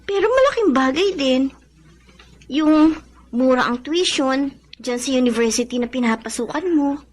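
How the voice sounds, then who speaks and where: A woman's voice: sits high, animated, moderate pace. An actress with a Filipino accent playing a friendly, admiring young woman in a radio drama.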